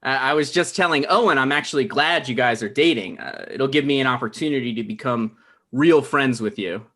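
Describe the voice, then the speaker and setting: A man acting as an anxious thirty-something guy in a naturalistic comedy read. He speaks haltingly at a medium pitch and sounds defensive and resentful. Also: a bit bright, lightly acted. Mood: awkward.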